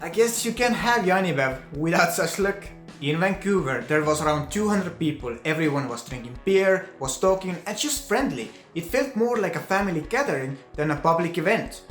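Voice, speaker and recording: A man with an Estonian accent, a native Estonian speaker, narrating his own vlog in earnest, slightly halting English. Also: on the high side, animated, fairly bright tone, echoey room, faint music behind. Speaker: vlogger.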